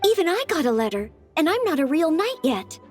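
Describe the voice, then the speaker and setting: Bright-voiced humble trainee. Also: female voice, high-pitched, sing-song, brisk. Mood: modest. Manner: polite, modest, hesitant. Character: humble eager young knight trainee girl. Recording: game audio with faint music.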